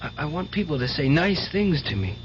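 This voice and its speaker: An actor playing an earnest, needy, devout nephew in an old radio drama. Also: male voice, a little high, very animated, brisk. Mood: plaintive. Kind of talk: 1970s radio drama acting. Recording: muffled, hissy old radio recording.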